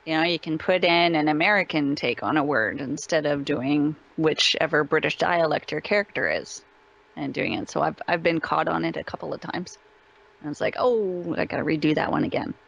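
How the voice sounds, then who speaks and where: A woman's voice: low voice, sing-song, normal speed, vocal fry, fairly bright tone, poor audio. A woman speaking casually as an interview guest.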